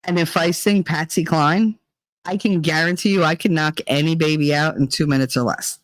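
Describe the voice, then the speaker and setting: A middle-aged woman with a deep, chesty voice, hosting a livestream in casual, profane chat while reading viewer comments. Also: fairly even intonation, moderate pace, no room sound. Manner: chatty and profane, rambling with many fillers. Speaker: livestream host.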